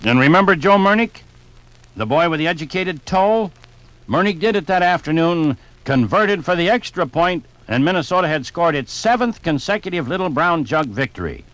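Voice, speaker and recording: A man playing a jovial sportsman in a 1950s radio drama, reminiscing in a conversational, animated way. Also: fairly high pitch, moderate pace, dry recording, poor audio. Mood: enthusiastic. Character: jovial sportsman reminiscing about football on a fishing trip.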